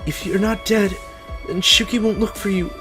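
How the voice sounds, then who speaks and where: A man acts out an anguished young story hero with a raised, high voice. He is impulsive and confused, pressing urgently with questions over music. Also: steady tone, moderate pace, bright, forceful tone. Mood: distressed, confused.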